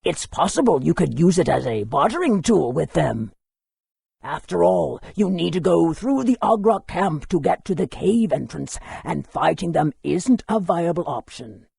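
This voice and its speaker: A man voicing a helpful, scholarly lore-keeper in a fantasy video game, telling history in a measured, expressive way. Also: on the high side, vocal fry, breathy edge, no echo, clean studio sound, exaggerated voice. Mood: storytelling.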